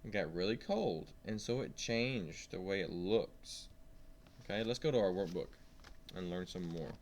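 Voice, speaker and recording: A man's voice: low-pitched, animated, unhurried, noisy background. A man reading a science lesson aloud to young children, stressing key words and asking simple questions as he goes. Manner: simple questions and prompts to the listener.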